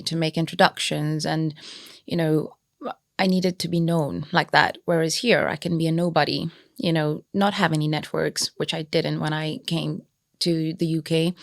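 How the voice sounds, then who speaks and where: A woman's voice: low-pitched, no echo, clean studio sound. A young woman from Kenya, a business founder and podcast guest, answering in a thoughtful, casual way with many fillers and restarts. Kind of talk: casual interview chat.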